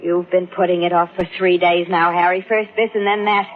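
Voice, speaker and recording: An actress playing a domineering, impatient wife in an old-time radio crime drama, with a sharp, goading, melodramatic delivery. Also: female voice, on the low side, fairly even intonation, brisk, narrow, tinny audio, soft background music, lightly acted. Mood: scornful. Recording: thin, noisy vintage radio recording.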